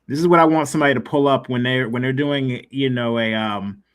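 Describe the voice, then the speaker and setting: Man as informal interview host, average-pitched voice, loose wordy delivery. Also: fairly quick, clear, resonant tone. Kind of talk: casual interview chat.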